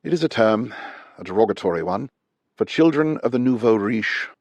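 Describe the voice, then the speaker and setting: A man voicing a polished, smooth-talking male intelligence agent in his mid-forties, measured and unhurried. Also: on the low side, animated, no room sound, voice pushed up.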